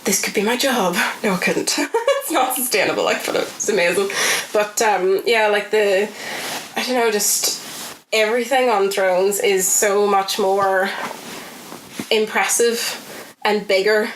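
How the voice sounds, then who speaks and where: A woman telling casual anecdotes as a podcast guest, chatty and animated. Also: mid-range voice, measured pace. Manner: chatty, animated, giggly delivery with quoted asides. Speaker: podcast guest, actor and film extra. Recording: echoey room, faint background noise.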